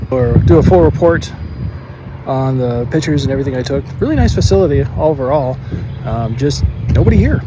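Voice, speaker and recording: Casual male pilot vlogger over loud outdoor noise. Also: mid-range voice. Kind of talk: casual unscripted vlog talk to camera. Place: outdoors at a small airport.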